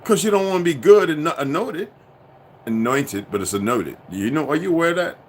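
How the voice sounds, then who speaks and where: A man rants straight to his viewers in a profane, preachy and insistent way. His pitch swings widely as he pushes each point, and a faint hiss sits under his voice. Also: very animated, brisk. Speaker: commentator. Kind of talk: ranting monologue to viewers.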